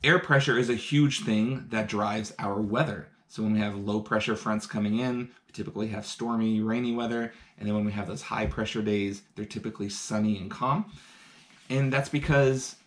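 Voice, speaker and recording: A man's voice: fairly low pitch, steady tone, moderate pace. A man teaching science in a live-streamed lesson from home, talking chattily and eagerly as he works through hands-on experiments. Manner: enthusiastic, chatty, explains step by step while doing experiments. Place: home, indoors.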